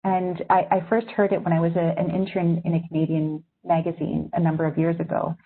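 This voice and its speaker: Husky-voiced woman on a tinny call. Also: fairly low pitch, level tone, brisk. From Syria. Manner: thoughtful, reflective. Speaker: magazine editor. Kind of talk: webinar talk to journalism students. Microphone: video call. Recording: tinny video-call audio.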